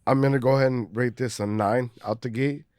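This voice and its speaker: A man's voice: medium pitch, fairly even intonation, a little fast, darkish tone, dry recording. Man, podcast co-host, rambling, swears a lot, dry close sound. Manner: rambling, swears freely, laughs often. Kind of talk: casual chat, beer review.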